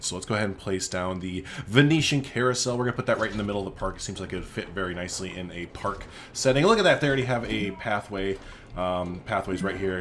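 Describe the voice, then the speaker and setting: A man's voice: low voice, slight background noise. A man hosting a gaming video, rambling casually and thinking aloud as he plays. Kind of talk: casual game commentary.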